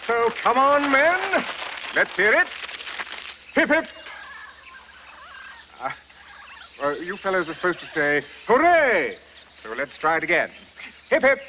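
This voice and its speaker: High-voiced, pompous, timid explorer. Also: male voice, huge pitch swings, takes it slowly, long pauses, bright, forceful tone, slight background noise, full character voice. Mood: excited. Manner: theatrical, hesitant when nervous. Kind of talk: broad comic TV acting. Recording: music behind, VHS tape source.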